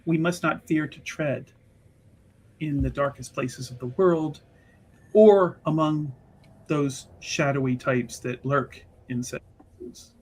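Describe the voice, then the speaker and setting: A performer voicing an assured, pious Sister of a fantasy church, with formal and deliberate phrasing, in a tabletop game. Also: mid-range voice, husky, rough recording.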